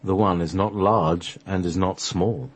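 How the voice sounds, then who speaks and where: Male radio host for a solemn scripted reading: deep, husky voice, measured delivery of mystical prose. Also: steady tone.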